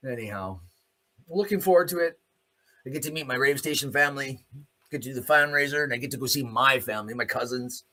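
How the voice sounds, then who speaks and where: A man's voice: mid-range voice, fast talker, sharp tone. A man hosting a solo commentary show, delivering a blunt, off-the-cuff opinionated monologue with free use of swearing.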